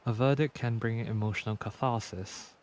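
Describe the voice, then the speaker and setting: A woman streamer giving casual, low-key commentary while playing a video game. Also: fairly even intonation, normal speed, darkish tone. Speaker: streamer, virtual YouTuber.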